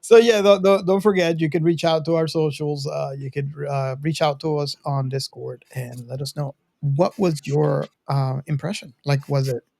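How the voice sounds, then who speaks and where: Halting podcast host with a dry, close sound. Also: mid-range voice, steady tone, gravelly, darkish tone, no room sound. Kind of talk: casual film discussion.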